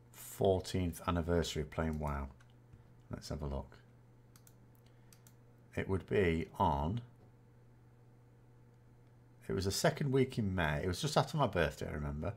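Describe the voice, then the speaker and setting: A man's voice: low-pitched, lively intonation, unhurried, gravelly, pauses often, slightly rough. A man, chatting casually and rambling as a gaming host answering viewer questions, often correcting himself with dry self-mockery. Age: 40s.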